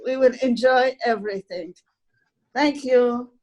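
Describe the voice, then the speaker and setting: A woman hosting an online talk, giving a deliberate, ceremonious welcome as programme coordinator. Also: on the high side, rough recording. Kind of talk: formal welcome speech on a video call. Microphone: video call.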